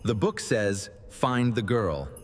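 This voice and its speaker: Man voicing a highly animated storybook dad. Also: full character voice. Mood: instructive. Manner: deliberate, expressive, emphatic. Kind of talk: slow scripted English lesson story dialogue.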